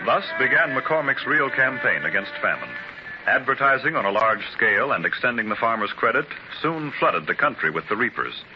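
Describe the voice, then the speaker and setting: A man's voice: medium pitch, very animated, normal speed. A man narrating a biographical radio drama in a declamatory, stately style. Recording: dull 1930s radio transcription with music. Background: music.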